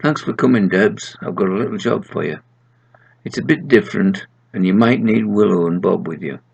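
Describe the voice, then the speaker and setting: A man's voice: lowish voice, normal speed, gravelly, darkish tone, breathy edge, dull audio. A man voicing a businesslike male boss from heaven handing out a job in a story.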